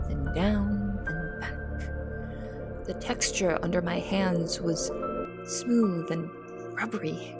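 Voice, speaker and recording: A woman playing an anxious young cook in an audio drama, narrating and speaking with confessional, dramatic intensity. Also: mid-range voice. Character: anxious young female cook in a big house. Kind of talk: audio drama first-person narration and dialogue.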